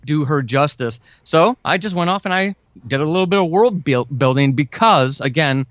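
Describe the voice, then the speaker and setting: A man's voice: on the high side, lively intonation, moderate pace, fairly bright tone, no echo. A man in his forties talking casually and earnestly as an interview guest, with an enthusiastic manner. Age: 40s. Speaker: guest, fiction and audio drama writer. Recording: dull, lo-fi band-limited audio.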